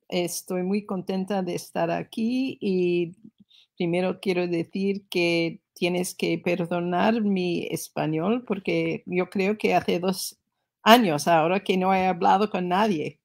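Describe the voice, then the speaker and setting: Slow, gravelly older woman on a video call. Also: on the low side, a little mellow. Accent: learner's Spanish with a Spain-style z. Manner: hesitant, searching for words, many fillers. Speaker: guest speaker, education researcher. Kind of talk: online book launch talk. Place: living room at home, on a sofa. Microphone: laptop webcam video call.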